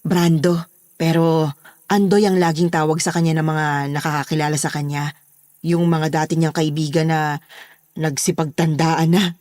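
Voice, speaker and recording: A woman's voice: sits low, flat delivery, normal speed, darkish tone, no echo, studio quality, close to an everyday voice. A woman with a Filipino accent playing a protective, bossy daughter running a household in a horror audio drama. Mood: matter-of-fact.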